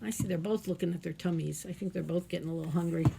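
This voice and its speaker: A woman reading a children's picture book aloud as a storytime reader, expressive and playful, adding chatty asides and questions for the listeners. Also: on the low side, racing, creaky, slight background noise.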